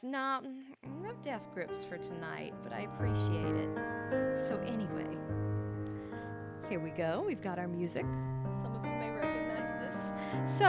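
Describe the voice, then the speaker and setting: A woman hosting a livestream, speaking in a casual, rambling way as if thinking aloud. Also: fairly high pitch. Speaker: livestream host, VTuber. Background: background music.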